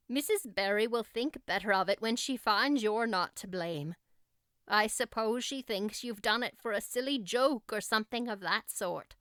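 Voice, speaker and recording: Woman playing a brisk, stern older woman, clear-voiced. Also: mid-range voice, fairly bright tone, dry recording, studio quality. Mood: gruffly reassuring. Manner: brisk, curt, no-nonsense. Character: stern, practical older female guardian, gruffly kind. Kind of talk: audiobook character acting.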